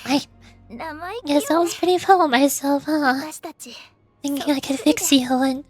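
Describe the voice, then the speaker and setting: A woman voicing a weakened young female warrior from a fantasy game, tender and regretful, soft and subdued. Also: high voice, a little slow. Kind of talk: reading game dialogue aloud in character. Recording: game music behind the voice.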